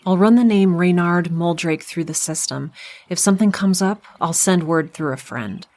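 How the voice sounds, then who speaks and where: A composed woman at the top of an intelligence agency played by an actress in an audio drama. She is middle-aged, speaks in a slightly low voice, and stays measured and earnest while giving little away. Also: darkish tone, close to an everyday voice. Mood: earnest, loving. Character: composed, evasive female intelligence director, caring wife.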